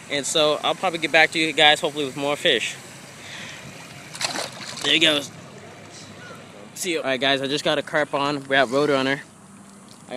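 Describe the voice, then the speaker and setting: A young man chats to his viewers while fishing at a city park pond, calling them guys. His voice sits a bit high, and the phone recording is thick with outdoor noise. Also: bright, forceful tone. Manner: chatty, addresses viewers as guys. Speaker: fishing vlogger. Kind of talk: casual fishing vlog narration.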